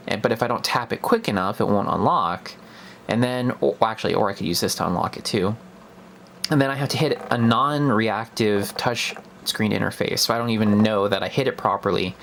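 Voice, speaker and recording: A man's voice: on the low side, vocal fry, a bit bright, slight background noise. A young man giving a casual, unscripted product review in a hesitant, conversational way, as if thinking aloud.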